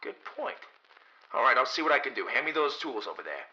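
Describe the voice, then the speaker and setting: A man's voice: medium pitch, very animated, brisk, bright, forceful tone, lo-fi sound. A man playing an earnest, anxious and wry private detective in a 1930s radio mystery, exclaiming urgently and stammering when evasive. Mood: exasperated.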